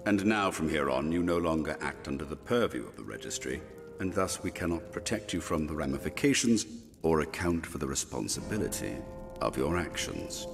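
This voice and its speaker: Very deep, gravelly, stern official. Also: male voice, big pitch swings, fairly quick, heavily acted. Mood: cold, formal. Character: stern, shrewd male official in a fantasy city. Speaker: voice actor. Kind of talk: dramatic video game voice acting. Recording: music behind the voice.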